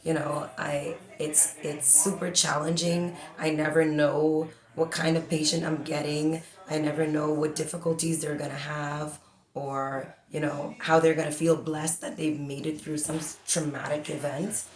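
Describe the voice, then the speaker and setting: Woman, forties, from the Bahamas, low voice, talkative interview guest, echoey room. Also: flat delivery, unhurried. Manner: chatty, talks a lot with many fillers. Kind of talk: casual interview.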